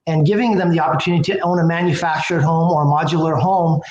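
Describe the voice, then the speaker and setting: A man's voice: fairly high pitch, steady tone, quick pace, darkish tone, lo-fi sound. A man who runs a housing company, speaking as an interview guest, enthusiastic and rambling with many fillers and restarts. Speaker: company president and CEO, guest. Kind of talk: casual interview talk. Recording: roomy with faint background noise.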